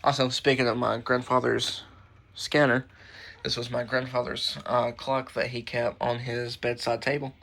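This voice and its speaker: Casual, gravelly man rambling along. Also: medium pitch, level tone, moderate pace, a bit bright, a little hiss or hum. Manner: rambling, muttering asides, mild swearing. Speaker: radio collector and host. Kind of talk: casual show-and-tell vlog. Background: weather radios playing.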